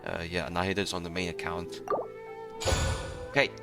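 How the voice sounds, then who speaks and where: A man giving casual, rambling playthrough commentary on a game, often halting and chuckling between phrases. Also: deep voice, little pitch movement, music in the background. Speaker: gaming streamer.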